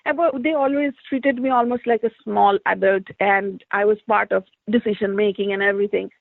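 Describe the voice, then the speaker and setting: A woman's voice: mid-range voice, steady tone, a little fast, creaky, no echo. Woman from India on a tinny line. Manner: reflective, storytelling, frequent "like" fillers. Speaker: artist and teacher, podcast guest. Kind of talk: conversational interview answers. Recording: tinny phone-like line, dry.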